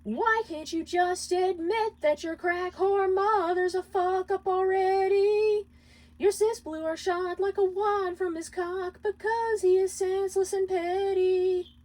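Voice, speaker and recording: A woman's voice: steady tone, mellow. A woman singing as a hurt male imp. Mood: scornful. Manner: sings his lines high and slow.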